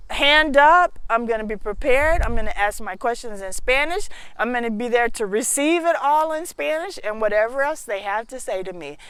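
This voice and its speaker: Female host, American accent, slightly high voice. Opinionated, expressive storyteller who talks straight to viewers and leans on key phrases. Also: smooth, dry recording. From Houston, Texas, United States. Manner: emphatic, expressive, repeats phrases for effect. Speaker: talk show host.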